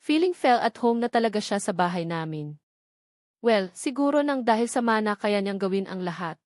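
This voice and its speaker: A woman's voice: mid-range voice, expressive, normal speed, a little mellow, dry recording. Woman reading a novel, dry close sound. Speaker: audiobook narrator. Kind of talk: scripted first-person novel narration.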